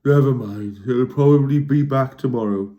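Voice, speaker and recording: A man voicing a simple, hungry male troll in a children's storybook reading, eager and gently timid. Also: medium pitch, moderate pace, dull audio, lightly acted. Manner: small nervous voice when scared.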